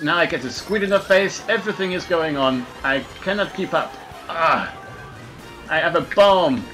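A man doing comic gameplay commentary in a fake French accent, excitable and hammy, laughing at his own jokes. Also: a little high. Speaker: let's play gamer.

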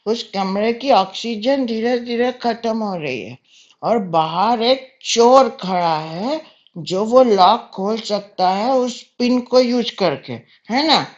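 A man's voice: high voice, slow, highs cut off, voice pushed up. A man voicing an insolent young boy who makes taunting, mock-polite prank calls in a comedy sketch. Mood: sly, teasing.

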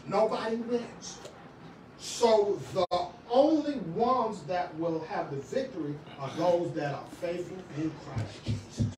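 A man's voice: high-pitched, slow, slight background noise. Man preaching, middle-aged, passionate, very echoey room, distant mic. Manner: impassioned, swings between shouting and quiet talk, many restarts. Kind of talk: sermon. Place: small church room. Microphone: far camera. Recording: echoey room, far from the camera.

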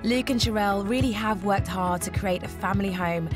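A woman presenting, giving bright, explanatory voice-over narration for a home makeover programme. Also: medium pitch, fairly quick. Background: background music.

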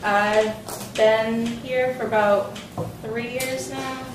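A woman's voice: fairly high pitch, fairly even intonation, very slow, background noise. A woman in her twenties, a college student volunteer, speaking earnestly with some fillers in a classroom. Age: 20s. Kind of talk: interview answers. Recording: echoey classroom, distant mic.

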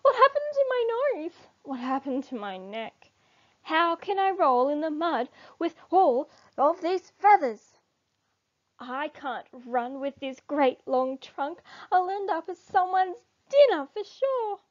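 A woman voicing a vain, excitable warthog in a children's storybook, exclamatory and emphatic. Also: high-pitched, slow, muffled recording, voice pushed up, exaggerated voice. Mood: panicked. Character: boastful, taunting warthogs, later dismayed. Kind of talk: read-aloud children's storybook.

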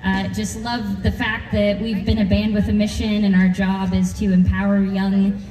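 A woman speaking from a festival stage to the crowd, relaxed, with fillers and pauses. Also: mid-range voice. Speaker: band member presenting a giveaway. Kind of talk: stage announcement. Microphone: stage microphone, filmed from the crowd. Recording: roomy PA sound from far off with crowd.

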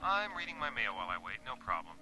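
A frank, easygoing businessman on the telephone in an old training film. His voice is very sharp and bright, with lively inflection and faint music behind. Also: mid-range voice, fast.

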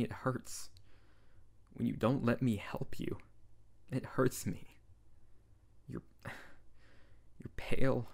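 A man's voice: mid-range voice, vocal fry, darkish tone. A man playing a young tsundere wizard who is bossy, exasperated and caring, in an intimate ASMR roleplay. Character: tsundere young male wizard, scolding but tender best friend. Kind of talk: intimate ASMR audio roleplay addressed to the listener.

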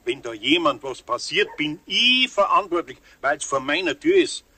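An older Bavarian craftsman with a husky, fairly high voice. He swings up and down as he grumbles and placates, exasperated yet good-natured, in a children's radio play. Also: very animated, normal speed, bright, forceful tone, heavily acted. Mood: firm, apologetic.

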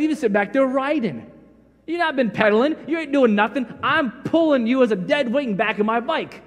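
A middle-aged man preaching a sermon in a large church sanctuary, folksy and animated, acting out bits of dialogue as he tells stories. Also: very high-pitched. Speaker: pastor. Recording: long reverb of church hall.

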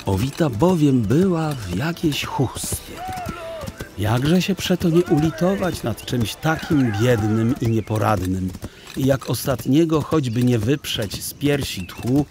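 A man narrating a children's audiobook in an expressive, theatrical storytelling style with deliberate phrasing. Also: mid-range voice, music in the background.

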